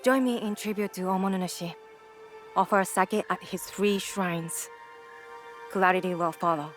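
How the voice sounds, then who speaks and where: Devout female shrine priest, played by a woman, lowish pitch, solemn, cryptic, formal game cutscene delivery over music. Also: fairly even intonation, moderate pace, natural voice. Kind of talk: video game cutscene acting.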